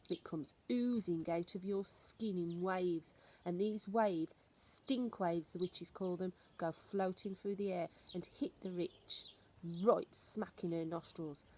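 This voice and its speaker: Storybook grandmother, played by a woman reader: old, stern, ominous, snappish, with a soft-edged tone and slight noise on the recording. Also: on the low side, takes it slowly. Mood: relishing, instructive. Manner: emphatic, instructive, snappish.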